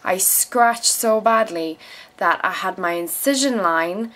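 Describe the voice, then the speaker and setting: A woman's voice: mid-range voice, slow pace, a bit bright, poor audio. A woman in her twenties from South Africa who moved to England as a teenager, chatting frankly and casually to camera with some swearing as she answers viewer questions. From South Africa, moved to England in her teens. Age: 20s. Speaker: vlogger. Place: small room at home, indoors. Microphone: camera mic at about arm's length.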